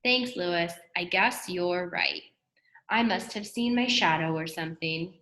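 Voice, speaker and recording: A stubborn, anxious little schoolgirl from a storybook, played by a woman reading aloud. She speaks with emphasis, in a voice that echoes clearly in the room. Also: fairly low pitch, unhurried, bright, forceful tone. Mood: relieved, curious.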